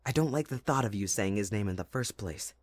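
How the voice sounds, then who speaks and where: Casting: young male winter spirit, played by a man, lowish husky voice, teasing and possessive, dramatic close-up ASMR roleplay, very dry sound. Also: lively intonation, a little fast, dry recording. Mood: uneasy, protective. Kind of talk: intimate ASMR audio roleplay acting.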